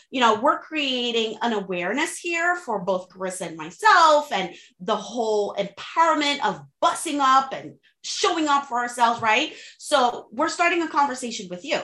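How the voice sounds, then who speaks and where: Female coach as interview guest, Haitian accent, native French and Creole speaker, forceful and frank, echoing room sound. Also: high voice, lively intonation, moderate pace, hardly any pauses. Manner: emphatic, frank, motivational. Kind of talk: conversational advice-giving.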